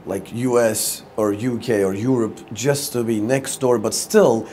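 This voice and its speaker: A man's voice: medium pitch, fairly even intonation, measured pace, a little mellow. A man with a Turkish accent, a native Turkish speaker, hosting a conversational interview. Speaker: interview show host. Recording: clear background ambient noise.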